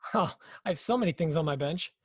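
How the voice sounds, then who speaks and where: A man co-hosting a casual podcast, talking through his workshop projects in a relaxed way, thinking aloud with fillers. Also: fairly high pitch, quick pace, slightly rough, no echo, clean studio sound. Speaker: podcast co-host. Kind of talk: casual chat.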